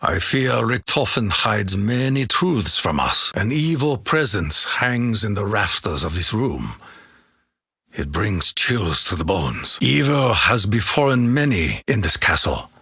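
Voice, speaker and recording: A grave, honour-bound samurai warrior for a video game, with a Japanese accent. The voice is bright and forceful, with a husky gravel to it. Each line is deliberate and portentous, swinging widely in pitch, in a close, dry sound. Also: male voice, sing-song, dry recording, full character voice. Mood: grave, brooding. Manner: formal, portentous, deliberate delivery. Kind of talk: theatrical video game character lines.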